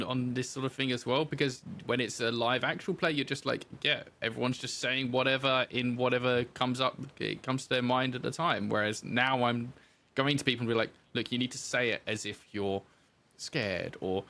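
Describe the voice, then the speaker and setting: A man's voice: mid-range voice, sharp tone. A man being interviewed as a podcast guest, explaining things in a casual, informal conversational way.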